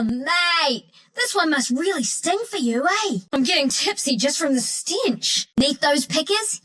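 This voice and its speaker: Scrappy lil game critter trapper, kiwi accent, high voice that jumps all over, cocky n mischievous. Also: female voice, a bit bright. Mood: cheeky taunting. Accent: New Zealand. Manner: cheeky, cocky, slangy. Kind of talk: video game character voice lines.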